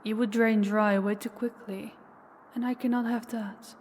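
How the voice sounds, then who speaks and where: A woman's voice: on the high side, little pitch movement, measured pace, darkish tone, in a large hall, a little hiss or hum, lightly acted. A woman playing a menacing, possessive ancient female vampire in an intimate audio roleplay, taunting and commanding, sometimes dropping toward a whisper. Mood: commanding, cold threat. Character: possessive, menacing older female vampire, toying with captive huntress.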